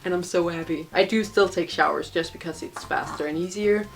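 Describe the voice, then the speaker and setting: A woman's voice: on the low side, fairly quick, gravelly. Chatty young Danish woman. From Denmark. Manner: chatty, rambling, many fillers and laughs. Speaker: vlogger. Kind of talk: casual Q&A vlog.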